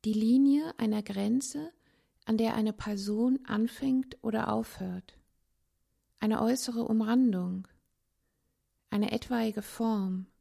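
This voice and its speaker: A woman reading a literary essay aloud in a measured, contemplative way, with pauses between phrases. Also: a little high, gravelly, dark tone. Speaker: narrator, language teacher.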